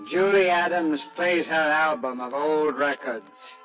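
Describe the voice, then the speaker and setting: A man's voice: a little high, measured pace, fairly bright tone, poor audio. A man narrating a sentimental Christmas radio play in a scripted storytelling style. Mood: measured storytelling. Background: music.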